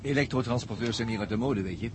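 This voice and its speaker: Man playing easygoing crewman, quick pace, medium pitch, casual, old radio play. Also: noisy background, close to an everyday voice. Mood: casual, practical. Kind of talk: 1960s Dutch radio drama acting.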